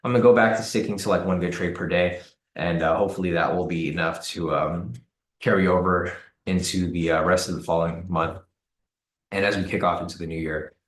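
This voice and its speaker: Deep raspy man hosting a trading stream. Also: brisk, echoey room. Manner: relaxed, repeats words and uses many fillers. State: hoarse from cold weather. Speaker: trading livestream host. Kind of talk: casual live-stream commentary answering chat.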